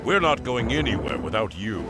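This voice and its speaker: A man's voice: fairly low pitch, sing-song, moderate pace, over music. A man playing a tough, dry warrior companion in a video game cutscene. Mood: firm.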